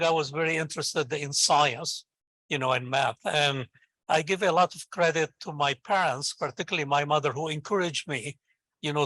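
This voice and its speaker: An older man from Lebanon speaks English with a Lebanese accent as a native Arabic speaker. His voice is bright and a little high, captured dry and close. He tells his story with enthusiasm, like a professor encouraging people. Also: dry recording, poor audio. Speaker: invited guest, professor and scientist. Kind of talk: conversational interview answer, storytelling.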